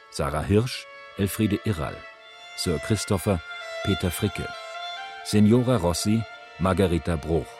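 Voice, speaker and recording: A man formally announcing the title and cast credits of a radio drama. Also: sits low. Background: music, guitar and strings.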